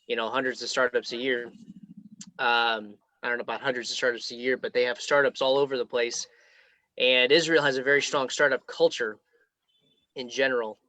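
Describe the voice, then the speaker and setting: A young American man from Kansas, an alumnus answering questions on a student panel in a relaxed way with plenty of ums. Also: mid-range voice, no echo. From Kansas, United States. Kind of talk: conversational Q&A answers.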